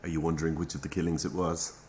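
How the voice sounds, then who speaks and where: An irish priest, pretty deep voice, chatty and a bit awkward, radio play style. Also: male voice, little pitch movement, fast, darkish tone, slight background noise. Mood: subdued, sombre. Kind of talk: naturalistic audio drama acting.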